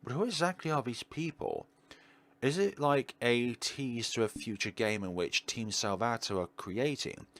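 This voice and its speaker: A man giving casual playthrough commentary as a gaming video host, thinking aloud with questions and trailing pauses. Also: on the low side, fairly bright tone. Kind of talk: casual playthrough commentary, partly reading on-screen text aloud.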